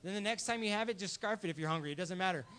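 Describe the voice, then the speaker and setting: A man's voice: high-pitched, very fast, a bit bright. A man from Southern California hosting a live beer and cheese pairing, explaining tastes in a friendly, casual way. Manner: friendly, explanatory, some restarts. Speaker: host. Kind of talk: casual live tasting talk.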